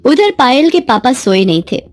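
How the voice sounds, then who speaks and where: High-pitched woman narrating a story. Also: big pitch swings, normal speed, a little mellow.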